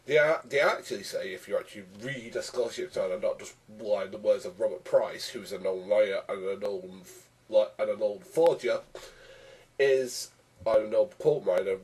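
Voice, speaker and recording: Hoarse argumentative man, low husky voice. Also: darkish tone, recorded from a distance, poor audio. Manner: frequent restarts, argumentative. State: hoarse with a cold. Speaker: YouTube commentator. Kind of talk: casual rebuttal vlog.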